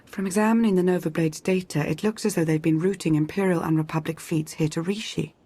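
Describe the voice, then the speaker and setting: Composed female strategist, stern, formal, measured, husky, video game dialogue. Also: lowish voice, steady tone, mellow. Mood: grave, resolute.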